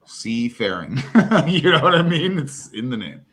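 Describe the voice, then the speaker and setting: A man hosting a live stream, sounding tired, loose and quick to laugh. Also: mid-range voice, sing-song, a bit bright, husky. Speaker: host. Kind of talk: casual chat.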